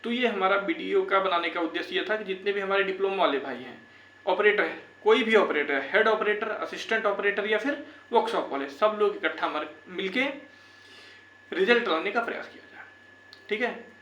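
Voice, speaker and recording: High-pitched young man, echoey room. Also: brisk, pushed and bright. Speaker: YouTube channel host for exam candidates. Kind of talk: informal advisory talk to viewers. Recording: echoey room with faint background noise.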